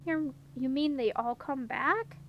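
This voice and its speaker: A woman's voice: high-pitched, takes it slowly, narrow, tinny audio, slight background noise. A woman voicing an earnest, headstrong young princess in a storybook. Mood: curious. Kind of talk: storybook character reading.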